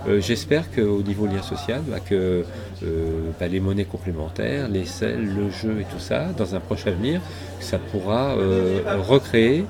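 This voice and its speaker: A middle-aged man from southwest France speaks in a slightly low voice, halting often to search for words and restart. A loud crowd chatters around him at a public event. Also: gravelly. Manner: hesitant, many fillers and restarts. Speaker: interview guest. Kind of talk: casual interview, rambling.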